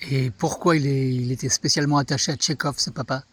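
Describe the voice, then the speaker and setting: A man's voice: medium pitch, steady tone, fast talker, fairly bright tone, husky. A man interviewing a guest, who leads the talk with prompting questions.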